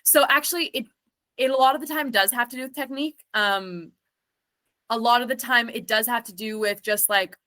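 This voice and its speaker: Bright-voiced singer, chatty woman. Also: fairly high pitch, brisk. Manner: chatty and reflective, many "like" fillers. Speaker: singer and self-producing recording artist. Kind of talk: casual interview answers.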